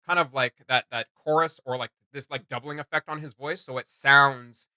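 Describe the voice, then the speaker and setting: A man hosting a reaction video with casual, halting commentary, often searching for words and repeating himself. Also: mid-range voice, steady tone, sharp tone, no echo.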